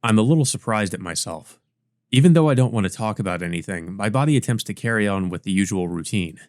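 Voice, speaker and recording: A man's voice: lowish voice, lively intonation, quick pace, a little mellow, dry recording, studio quality. A man hosting a let's play, reading a visual novel aloud with casual asides. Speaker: let's play host and reader.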